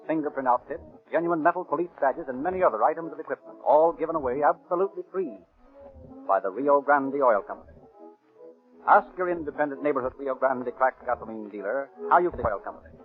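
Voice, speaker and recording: Male announcer: mid-pitched, formal vintage radio delivery, narrow tinny recording, some music behind. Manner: formal, declamatory. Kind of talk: 1930s radio narration and sponsor announcing. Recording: tinny band-limited 1930s radio recording.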